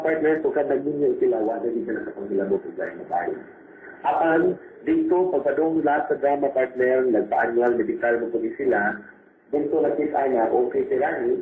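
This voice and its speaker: A man's voice: medium pitch, a little mellow. A man with a Cebuano Filipino accent, a doctor co-hosting a radio advice program. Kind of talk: radio advice talk with co-host. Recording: roomy echo, clear background noise.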